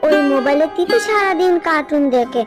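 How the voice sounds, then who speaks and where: A woman's voice: high-pitched, animated, a little fast, loud music behind the voice. A woman voicing a whiny, insistent little girl who pleads and sulks in a fairy-tale cartoon. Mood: pleading.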